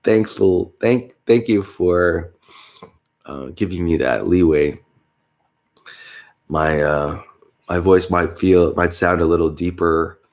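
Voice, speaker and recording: A man's voice: low-pitched, slow, pauses often, darkish tone. A man vlogging in a rambling, casual way, congested with a stuffy nose, with many fillers and small chuckles.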